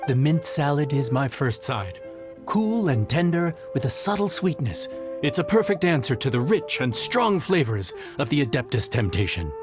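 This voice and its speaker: A man's voice: medium pitch, sing-song, moderate pace, lightly acted. Guy playing nervy young chef in a game, earnest, voice moves around a lot, music behind. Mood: proud, sincere. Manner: earnest, hesitant. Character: nervous, sincere young male chef. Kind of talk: video game character acting.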